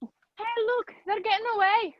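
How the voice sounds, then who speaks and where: A woman's voice: very high-pitched, steady tone, a little slow. A woman playing a bossy, taunting, crooked policeman in an old-fashioned radio play, with muffled video-call sound. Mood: impatient.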